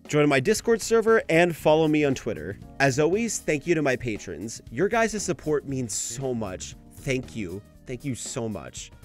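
A man's voice: medium pitch, big pitch swings, normal speed, few pauses, faint music behind. A young adult man narrating a scripted video essay in a casual style. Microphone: home microphone, voice-over.

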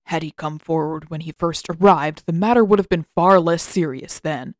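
A woman's voice: deep voice, moderate pace, no echo, lightly acted. Storybook role: woman playing a disapproving old male master, cold and condescending, recorded dry.

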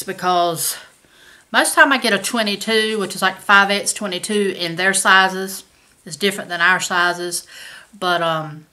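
A woman's voice: medium pitch, bright, forceful tone. A woman, chatting casually about her shopping haul to a camera at home. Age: 50s. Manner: chatty, folksy, says y'all. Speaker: haul vlogger. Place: home kitchen indoors.

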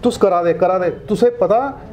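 A man's voice: high-pitched, measured pace, slight background noise. A middle-aged man from Jammu with a Dogri accent, a cartoonist guest chatting casually in an interview and gesturing as he talks. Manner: animated, gestures a lot while talking. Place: indoor studio set.

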